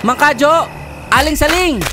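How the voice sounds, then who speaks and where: A man's voice: very high voice, unhurried, noisy background, pitched above the performer's own voice. A man voicing a young, defiant and horrified hero in a horror audio drama. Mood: alarmed. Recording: loud music bed under the voice.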